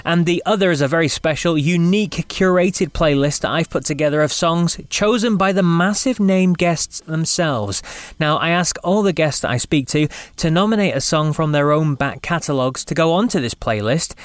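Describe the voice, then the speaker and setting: A man's voice: a little high, moderate pace, no room sound. An English man with a slight Scottish lilt, hosting a rock podcast, chatty and upbeat, reading his intro then chatting with his guest. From England. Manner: chatty, upbeat, laughs easily. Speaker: podcast host. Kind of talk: scripted intro then casual interview.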